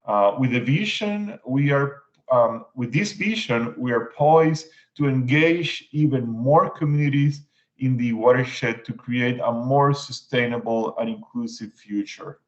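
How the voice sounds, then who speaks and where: A man from Puerto Rico with a Puerto Rican Spanish accent, giving prepared panel remarks as an agency official, halting with fillers and restarts. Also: mid-range voice. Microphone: video call. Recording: dull lo-fi video call audio.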